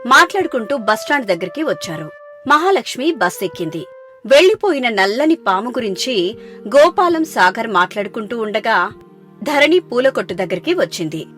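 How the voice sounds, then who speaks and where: A woman's voice: fairly high pitch, big pitch swings, normal speed, fairly bright tone. A woman reading a scripted family story as its narrator, with music behind her. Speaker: story narrator voicing characters. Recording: background music under voice.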